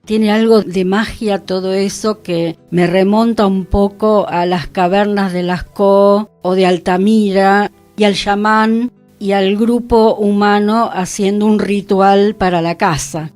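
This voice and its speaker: Woman, Argentine accent, radio host, slightly low voice, reflective conversational delivery, music in the background. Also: fairly even intonation, slow pace, darkish tone. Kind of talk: conversational radio discussion about culture. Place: radio studio.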